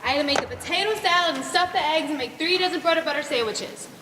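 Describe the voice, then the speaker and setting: A young woman acting a gentle small-town daughter in a high school stage play. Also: fast talker, close to an everyday voice. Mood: put out. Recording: echoey hall, clear background noise.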